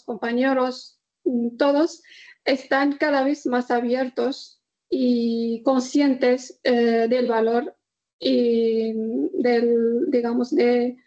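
A woman from northern Morocco, answering interview questions in a halting way, pausing often to search for words. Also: fairly high pitch, a little mellow, dull audio. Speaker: guest.

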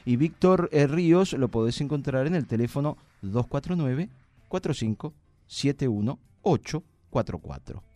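A man's voice: mid-range voice, expressive, normal speed, mellow, no echo. A man with an Argentine accent hosting a live radio show, with a playful, joking way of talking. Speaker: radio host.

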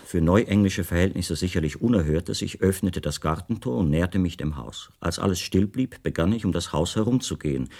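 Low-voiced, reflective male writer narrating. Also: darkish tone. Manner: measured, precise, formal. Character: reflective male writer, a foreign guest recounting his disappearance. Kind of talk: literary radio drama first-person narration.

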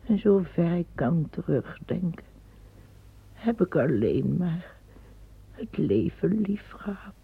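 An actress playing an old, tired empress in a radio play, speaking in a breathy, hushed voice that often sinks to a whisper. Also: female voice, on the low side, sing-song, slow, long pauses, heavily acted. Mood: weary. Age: older. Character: old weary empress, fearful and plain-spoken. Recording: tinny lo-fi old radio recording.

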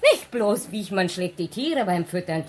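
A woman's voice: on the low side, expressive, moderate pace, lightly acted. Actress as a gossipy circus woman, swooping intonation. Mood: gossipy, insistent. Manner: gossipy, broken German. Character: gossipy middle-aged former circus snake dancer, theatrical and nosy. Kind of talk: radio play acting.

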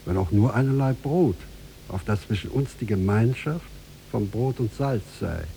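A man's voice: on the low side, unhurried, gravelly, mellow. A man playing a scheming, gloating old merchant villain in a theatrical 1950s German radio play. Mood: wheedling, insistent. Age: older. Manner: insistent, theatrical, with wheedling servility and gloating. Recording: noisy 1950s radio recording.

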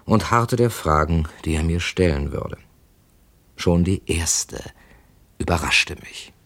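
An actor playing an irritable, defensive younger man who is both suspect and narrator in a radio crime drama. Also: low voice.

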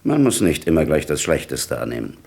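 An actor playing an authoritative, skeptical senior police chief in a radio play, with a gravelly, husky voice. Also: male voice, extremely low pitch, big pitch swings, fairly quick, darkish tone, full character voice. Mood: calm authority. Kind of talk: German radio play dialogue.

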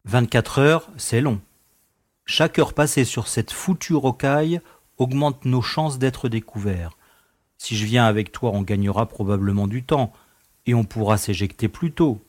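A man's voice: mid-range voice, a little slow, a little mellow, no echo, lightly acted. A man playing an earnest, persuasive space adventurer and loyal companion in an audio drama.

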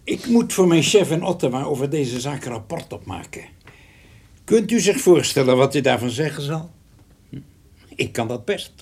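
An actor in a Dutch radio play playing a shrewd, patient narcotics investigator who speaks formally and deliberately. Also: male voice, mid-range voice, lively intonation, darkish tone, husky. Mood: measured, probing. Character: shrewd, patient male police narcotics investigator, calmly coercive. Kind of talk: Dutch radio drama acting.

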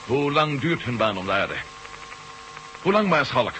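An actor playing an intense, decisive former astronaut in a radio drama, with a serious delivery. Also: male voice, medium pitch, very animated, unhurried. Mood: urgent. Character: ex-astronaut reporter, male adventure hero, intense and decisive. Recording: faintly noisy humming radio recording.

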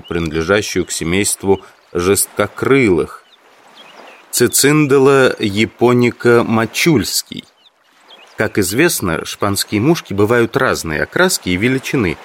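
Low-voiced obsessive musing entomologist. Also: male voice. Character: obsessive male amateur entomologist, earnest and musing. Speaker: actor in an audio drama. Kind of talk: dramatized first-person audiobook monologue.

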